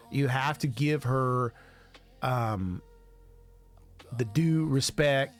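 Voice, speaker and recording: A man giving casual reaction commentary, hesitant and rambling as he thinks aloud. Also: medium pitch. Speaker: music video reaction host.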